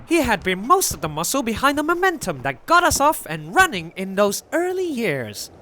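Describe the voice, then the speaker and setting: A woman's voice: on the high side, wildly swooping pitch, moderate pace, background noise. A woman playing a wry, feisty country woman in an audio drama, talking at length in folksy, colorful phrases. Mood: wry storytelling. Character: garrulous, wry, feisty country woman telling old tales. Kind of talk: audio drama acting, storytelling.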